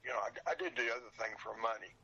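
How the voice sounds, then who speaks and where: An older man with a hoarse, breaking voice, talking earnestly and haltingly as a guest in a casual interview. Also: mid-range voice, pushed and bright, muffled recording. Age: older, 60s or above. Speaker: podcast guest, radio show collector.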